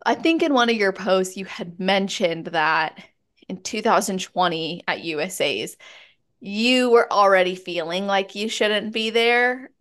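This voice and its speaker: A woman's voice: medium pitch, lively intonation, measured pace, a bit bright. Bubbly female podcast host, casual and upbeat interviewer. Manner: bubbly, laughs often.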